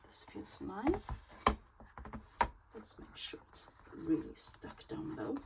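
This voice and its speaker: A woman's voice: medium pitch, huge pitch swings, slow pace, very noisy. A Swedish woman with a Swedish accent, her native tongue Swedish, hosting a casual craft tutorial and chatting with hesitant fillers as she works. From Sweden. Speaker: crafting channel host.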